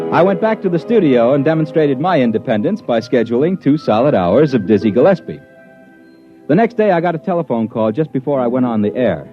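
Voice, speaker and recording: A man acts out an easygoing American disc jockey recounting a tale in a 1950s radio play. His delivery is conversational and wry, with lively pitch movement. The old recording is muffled, with music underneath. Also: lightly acted. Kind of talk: 1950s radio drama narration.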